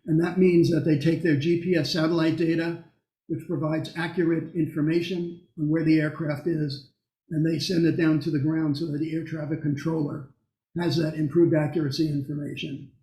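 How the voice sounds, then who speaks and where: Halting man presenting in an echoey room. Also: on the high side, flat delivery, a little mellow. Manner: halting, explanatory, with pauses mid-sentence. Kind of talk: technical lecture with question and answer.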